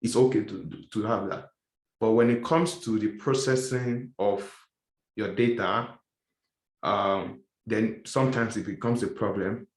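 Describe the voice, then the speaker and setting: A man's voice: on the low side, steady tone, a little slow, reverberant. A male instructor giving a casual lecture, explaining step by step and often repeating and rephrasing his points. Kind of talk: classroom lecture.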